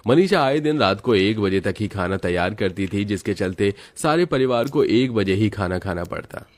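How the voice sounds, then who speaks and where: Low-voiced male story narrator. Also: animated, moderate pace. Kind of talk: scripted narration of a family story.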